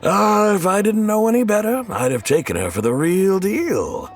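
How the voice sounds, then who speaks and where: An actor playing a jovial, pompous older role-play enthusiast with a hammy, exuberant delivery in a video game. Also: male voice, high voice, animated, moderate pace, faint music behind. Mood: delighted. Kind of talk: video game cutscene acting.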